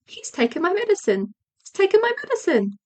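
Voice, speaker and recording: A woman voicing a small, excitable baby kangaroo in a storybook, chirpy and childlike. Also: very high voice, fairly quick, voice pushed up, heavily acted. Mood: gleeful.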